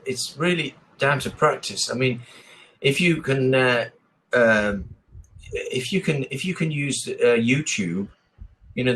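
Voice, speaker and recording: A man's voice: medium pitch, a bit bright, rough recording. A man with an English accent chatting casually and encouragingly as an online tutor, often repeating and rephrasing his advice over a video call. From England. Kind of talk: casual one-to-one lesson chat.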